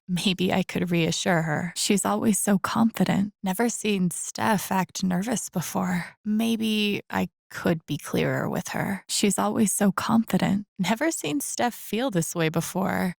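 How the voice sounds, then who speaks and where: A young woman thinks aloud in an understated, reflective inner monologue. She is empathetic and a little wry, with a creaky grain in her voice. The sound is close and dry, in a naturalistic video game style. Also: medium pitch, no room sound. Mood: wry, amused. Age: young adult.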